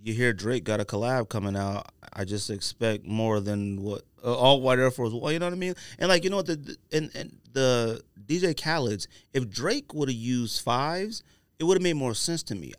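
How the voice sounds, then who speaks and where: A man's voice: mid-range voice, expressive, moderate pace, smooth, no room sound. A man hosting a casual podcast, talking in an informal, opinionated way with plenty of slang. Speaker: sneaker podcast host. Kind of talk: casual banter and opinionated sneaker commentary. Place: podcast studio.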